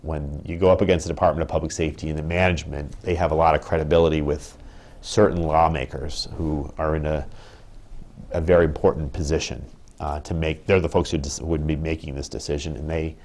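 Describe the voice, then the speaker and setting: A man in his thirties speaking as a union representative in an interview, measured and thoughtful, with frequent ums and restarts. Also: very deep voice, level tone, a little mellow. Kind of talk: interview answers. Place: indoor office with wood paneling.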